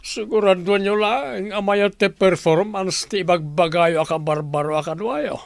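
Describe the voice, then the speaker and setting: An actor playing a stern, demanding company boss in a radio drama, with a gravelly voice. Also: male voice, high voice, normal speed, no room sound.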